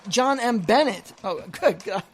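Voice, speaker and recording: A radio host presenting an absurd comedy show in a casual, halting, repetitive and mock-serious way. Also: mid-range voice, animated, a little hiss or hum.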